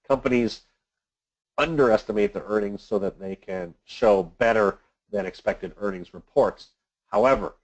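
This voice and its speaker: A man presenting a semi-scripted financial briefing as an analyst, explaining things in a measured, matter-of-fact way. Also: fairly low pitch, low-quality recording. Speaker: financial analyst hosting a weekly market briefing. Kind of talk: semi-scripted market commentary.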